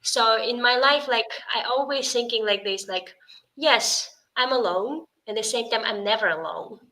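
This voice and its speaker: A woman, a podcast guest talking in a casual interview, in halting full of "like" and "you know.". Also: fairly high pitch, sharp tone, poor audio. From Russia.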